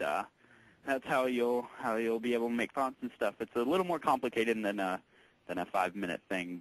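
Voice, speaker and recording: A man working as a tech support employee on a call, explaining things patiently in a halting, informal way. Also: fairly low pitch, a bit bright, dry recording, poor audio. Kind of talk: casual explanatory phone conversation.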